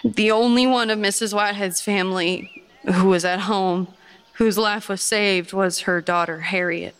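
A woman playing an earnest, devout 1830s Virginia gentlewoman, giving formal, measured testimony in a historical drama. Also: medium pitch, steady tone, clear, resonant tone, lightly acted. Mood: grave. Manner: formal, measured storytelling, firm.

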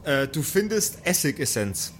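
A man's voice: a little high, very animated, measured pace, a little hiss or hum. A man acting as game master, improvising scene descriptions and banter for a tabletop role-playing game, with a dramatic way of setting scenes. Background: music.

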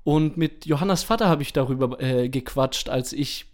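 A man hosting a podcast in casual chat, informal and often halting to correct himself mid-sentence. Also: mid-range voice. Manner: informal, halting, self-correcting with repeated false starts. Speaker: podcast host.

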